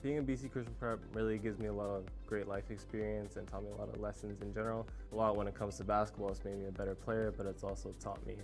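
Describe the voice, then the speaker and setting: A man's voice: on the low side, a little mellow. A young man from Vancouver, a student basketball player, speaking earnestly in an interview. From Vancouver, Canada.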